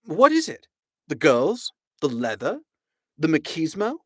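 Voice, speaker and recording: A man voicing a quick-witted male traveller who talks in a brisk, wry, persuasive way. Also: a little high, huge pitch swings, fairly bright tone, dry recording, clean studio sound, voice pushed up, full character voice. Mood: wry coaxing.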